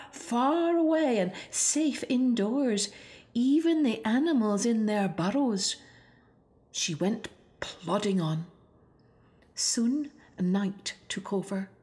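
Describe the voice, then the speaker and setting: A woman's voice: on the high side, darkish tone. A woman narrating a children's storybook expressively. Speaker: storybook reader.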